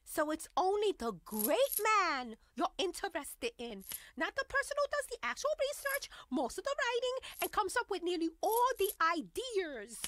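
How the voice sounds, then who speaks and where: A woman's voice: very high-pitched, sing-song, normal speed, hardly any pauses, a bit bright, heavily acted. A woman playing a brash, jealous live-in partner, scolding and sassy in a zany audio comedy. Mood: accusing. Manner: scolding, sassy, peppered with Spanish insults.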